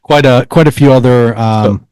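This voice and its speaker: A man co-hosting a podcast, telling stories in a casual, halting way and repeating words as he searches for the next. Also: medium pitch, darkish tone, clear, resonant tone. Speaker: podcast co-host. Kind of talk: casual sports storytelling.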